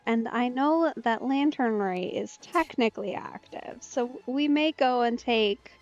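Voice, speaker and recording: A woman's voice: fairly high pitch, no room sound. Young woman, game streamer, chatty, giggly, dry, lo-fi mic, game music behind. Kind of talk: casual let's play commentary.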